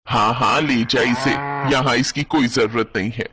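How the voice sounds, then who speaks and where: A man's voice: medium pitch, steady tone, moderate pace, bright, forceful tone, slight background noise, close to an everyday voice. A man voicing a stingy, bossy older village aunt, snapping dismissively in an audio folk story.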